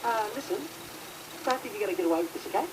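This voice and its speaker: A man's voice: high voice, very fast, far from the mic, very noisy, lightly acted. An actor playing a cheeky, argumentative unemployed man in a comedy film. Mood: indignant. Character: brash unemployed man in a car row.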